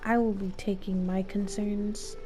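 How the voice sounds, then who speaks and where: A woman comments on a game as she streams it, in a mid-pitched voice at a slow pace. She is playful and theatrical with deadpan jokes, over faint music. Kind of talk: playful live gameplay commentary with improvised dramatics.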